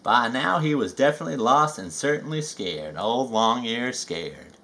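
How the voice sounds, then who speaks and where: A man reading a children's story aloud with folksy, chatty asides and drawn-out emphasis. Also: mid-range voice, expressive, fairly bright tone. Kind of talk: animated read-aloud narration with chatty asides.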